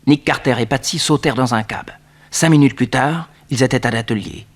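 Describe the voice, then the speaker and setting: An actor playing the dramatic, suspenseful narrator of a 1960s French radio serial, on a noisy old recording. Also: male voice, medium pitch, a little fast, close to an everyday voice.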